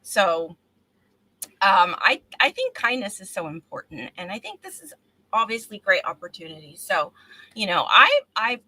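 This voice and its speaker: Bright, animated woman hosting a talk show. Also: medium pitch, moderate pace, low-quality recording. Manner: encouraging, affirming. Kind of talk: casual live-stream interview.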